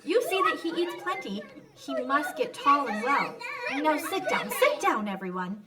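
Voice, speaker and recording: A woman's voice: high voice, sing-song, measured pace. A woman playing a bustling, outspoken, motherly housewife in an old-time radio drama. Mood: bustling, affectionate. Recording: slightly distant from the mic.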